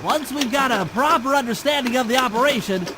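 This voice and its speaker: A man's voice: very high voice, brisk, background noise. A man voicing a nerdy, cautious young turtle mastermind in a video game, nasal, speaking in precise, wordy, scholarly briefings. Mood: calculating, earnest. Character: nerdy, cautious male turtle brainiac, young gadget-minded strategist. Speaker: mission planner and guide. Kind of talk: video game cutscene and tutorial voice acting.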